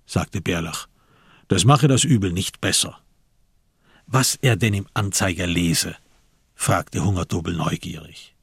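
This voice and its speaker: A man's voice: sits low, unhurried, creaky, pauses often, husky, dry recording, clean studio sound. A man, reading scripted literary narration for a radio drama.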